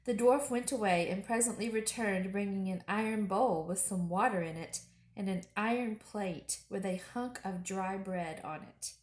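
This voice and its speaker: Female storyteller for children's read-aloud: mid-range voice, measured, expressive delivery, noticeably roomy recording. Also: mid-range voice. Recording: roomy home echo.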